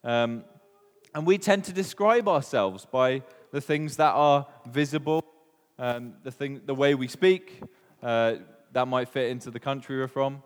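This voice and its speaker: A man's voice: medium pitch, measured pace. A man with a Welsh accent giving an informal sermon, talking casually and putting questions to the congregation. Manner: chatty, asks the room questions, many ums. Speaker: preacher. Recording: long reverb of a hall.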